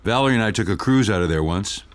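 An actor playing a mild, affable older husband in an audio comedy, laconic and low-key. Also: male voice, animated, quick pace, natural voice. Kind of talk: naturalistic audio comedy acting.

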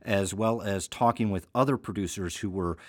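A man's voice: fairly low pitch, moderate pace, no echo. Man co-hosting a podcast, dry close sound. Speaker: audio fiction producer, host. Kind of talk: semi-scripted podcast conversation.

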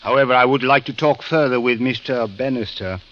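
Man doing very proper cairo police captain, courteous n deliberate, old radio play, muffled sound. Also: medium pitch, lightly acted. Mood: formal, grave. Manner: formal, deliberate phrasing without contractions. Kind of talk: 1940s radio drama acting. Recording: dull old radio recording.

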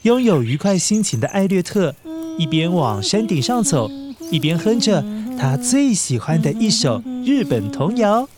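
A man's voice: high voice, big pitch swings, a little slow. A man with a Taiwanese accent telling a story expressively, speaking directly to young children.

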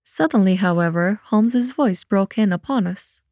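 A woman's voice: medium pitch, normal speed, a little mellow, dry recording, clean studio sound. A woman reading scripted narration as the host of a story podcast.

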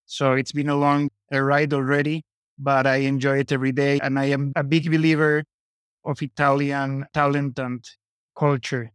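A man's voice: mid-range voice, level tone, moderate pace, clean tone, no room sound, studio quality. A man from Colombia with a Latin American Spanish accent, chatting as an interview guest on a podcast. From Colombia, grew up in Costa Rica. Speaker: podcast guest, company founder. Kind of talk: casual interview conversation.

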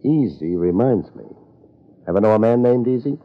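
An actor playing a cool, wry private detective in a 1950s American radio drama, with a laid-back, clipped way of talking and an American accent. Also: male voice, lowish voice, expressive, moderate pace. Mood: wry, guarded. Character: cool, hard-boiled male private detective. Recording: muffled old radio broadcast.

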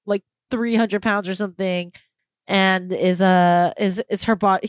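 A woman's voice: mid-range voice, flat delivery, unhurried, dry recording, clean studio sound. Chatty woman with many fillers. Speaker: podcast co-host. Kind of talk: casual film discussion.

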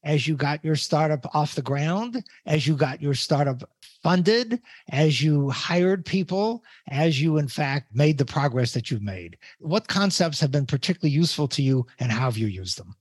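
An older man, a professor hosting a podcast, introducing and questioning a guest in a deliberate, emphatic way. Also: fairly high pitch, expressive, no echo, clean studio sound. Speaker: podcast host.

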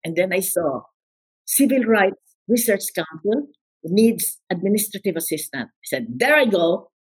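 A woman's voice: mid-range voice, expressive, moderate pace, slightly rough, low-quality recording. An older woman with a Filipino accent, telling her life story as an interview guest in an emphatic, repeating way. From Philippines. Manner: emphatic, repeats words, quotes others.

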